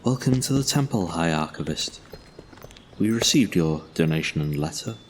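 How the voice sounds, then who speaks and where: Guy acting a polite temple keeper, deep voice, soft ambience behind, fantasy audio drama. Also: lively intonation, moderate pace, slight background noise. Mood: welcoming, respectful. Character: courteous, reverent male temple keeper.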